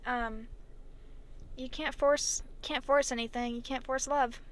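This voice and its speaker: Teen girl, podcast co-host, casual chat, high voice, giggly. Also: steady tone, slow pace. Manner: giggly, chatty, lots of ums and likes. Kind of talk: casual chat among friends.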